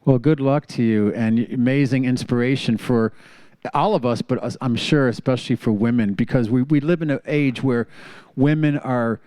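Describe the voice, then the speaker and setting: A man's voice: medium pitch, moderate pace, dark tone, no room sound, poor audio. Friendly male talk show host, dry sound. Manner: friendly, enthusiastic, with fillers and restarts. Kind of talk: casual interview chat.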